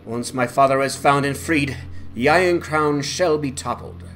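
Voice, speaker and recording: A man's voice: mid-range voice, normal speed, a bit bright, a little hiss or hum, lightly acted. A man voicing a resolute, earnest woman, a lost leader's daughter, in formal fantasy game dialogue. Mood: resolute, grave.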